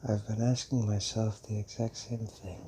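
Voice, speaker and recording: An actor playing a centuries-old, fatherly male vampire in an intimate audio roleplay, speaking haltingly and musingly with hums and long pauses. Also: fairly low pitch, little pitch movement, mellow, a little hiss or hum, close to an everyday voice. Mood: regretful, sorry.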